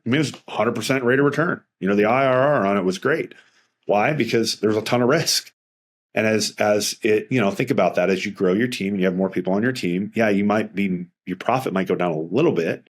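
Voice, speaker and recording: A middle-aged man speaking as a financial advisor in a casual interview, earnest and rambling with frequent restarts. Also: fairly low pitch.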